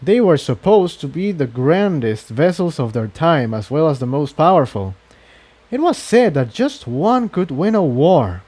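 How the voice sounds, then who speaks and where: A man's voice: on the high side, very animated, measured pace, darkish tone. A man voicing a determined male pony commander in an adventure story, with an intense, commanding manner. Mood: tense, explaining.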